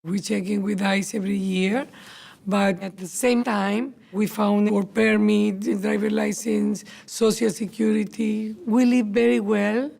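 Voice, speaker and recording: A Colombian woman with a Spanish accent, a mother speaking halting, simple English in a documentary interview. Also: mid-range voice, steady tone, gravelly. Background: soft background music.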